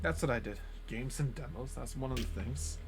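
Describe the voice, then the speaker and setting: A man's voice: mid-range voice, normal speed, over music. A man streaming live, chatting casually and rambling, swearing often and restarting his sentences.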